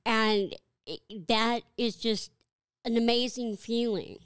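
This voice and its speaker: A middle-aged woman, a guest on a podcast, speaking slowly and haltingly with deep feeling. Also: steady tone, smooth, dry recording, clean studio sound. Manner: slow, halting, heartfelt. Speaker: guest, donor mother. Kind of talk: casual interview talk.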